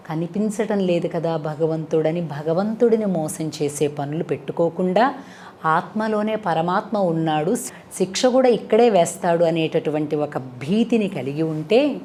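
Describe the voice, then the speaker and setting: A woman tells a moral story as a devotional storyteller, in an expressive, conversational way with rhetorical questions. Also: low voice, a little slow, a little hiss or hum. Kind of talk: animated moral storytelling to an audience.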